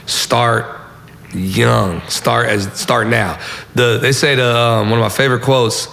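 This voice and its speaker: A young man speaks casually, as an interview guest giving advice. His voice is mid-pitched with plenty of gravelly fry, and his phrasing is slangy and informal. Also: steady tone, slow, fairly bright tone, slight background noise. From Southern California. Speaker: musician guest.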